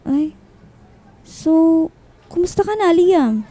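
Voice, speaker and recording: A breezy young woman in a radio drama, teasing yet concerned. Her voice is high and mellow. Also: animated, slow, heavily acted, low-quality recording. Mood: teasing, curious.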